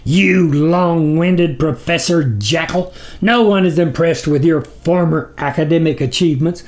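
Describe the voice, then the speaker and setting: A man voicing a cruel, impatient demon overlord for an audiobook story, roaring pompous insults with thunderous disdain. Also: unhurried. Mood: angry, mocking. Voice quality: booming, roaring.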